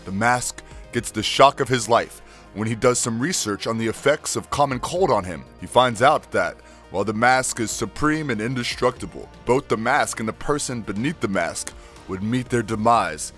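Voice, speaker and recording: A man's voice: medium pitch, animated, normal speed, a bit bright, soft background music. A man reading scripted narration that recaps cartoon episodes.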